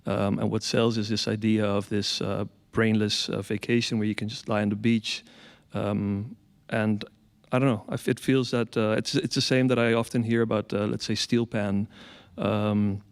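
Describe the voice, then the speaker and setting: A man from the Caribbean speaking as an artist on a panel, measured with many pauses and ums. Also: lowish voice, level tone, big-room sound. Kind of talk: panel talk.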